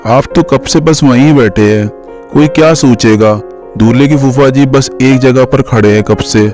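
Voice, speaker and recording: A man plays a respectful elder of a cartoon family, giving counsel. His pitch is middling for a man. Music is clearly heard behind him. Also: normal speed, lightly acted. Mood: mildly reproachful. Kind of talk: cartoon story dialogue.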